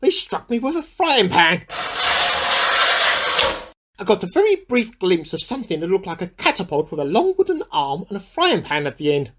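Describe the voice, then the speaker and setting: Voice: medium pitch, big pitch swings, moderate pace. An actor in a radio play playing a bemused amateur scientist who keeps a spoken diary, musing aloud in an earnest, slightly formal and self-questioning way. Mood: indignant, alarmed. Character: bemused amateur scientist keeping an audio diary, increasingly suspicious.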